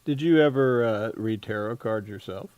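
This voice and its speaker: A man with a slightly low voice interviews an author as a podcast host. He talks casually and haltingly, thinking as he goes, and the sound is close and dry. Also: a little mellow, no echo, muffled recording.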